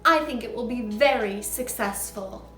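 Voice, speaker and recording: A woman playing a booming, furious giant headmistress. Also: a little slow, pushed and bright, soft background music. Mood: menacing. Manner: booming, barking, ranting. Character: huge, furious, child-hating headmistress. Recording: echoey room.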